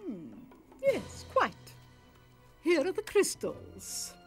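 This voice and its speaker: A woman's voice: very high voice, wildly swooping pitch, drawn-out words, faint music behind, exaggerated voice. An actress playing a shrewd, fussy older lady guide in a cartoon, haughty, wheedling and formal. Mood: smug, officious. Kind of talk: cartoon acting.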